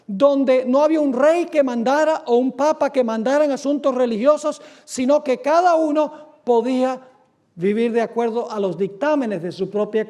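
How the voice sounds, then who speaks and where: A pastor from the United States preaching a sermon, reading quotations slowly and firing off rhetorical questions that he answers himself. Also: very high voice, darkish tone. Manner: emphatic, asks rhetorical questions and answers them; reads quotations aloud slowly. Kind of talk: sermon lecture.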